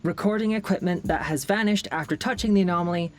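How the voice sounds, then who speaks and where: A woman's voice: lowish voice, fast, faint music behind, lightly acted. An actress playing a businesslike woman of a secretive research organization in a scripted audio drama. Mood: clinical.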